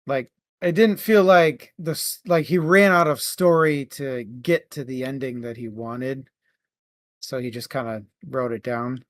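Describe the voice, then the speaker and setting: A man's voice: mid-range voice, a little slow. A man co-hosting a book club, talking casually through a book and animatedly retelling parts of it.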